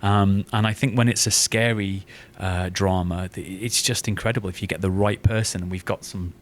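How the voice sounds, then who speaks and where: A man chatting casually and enthusiastically about creative work, effusive and often halting to restate himself with emphasis. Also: low voice, steady tone, slight background noise. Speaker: writer and podcast guest.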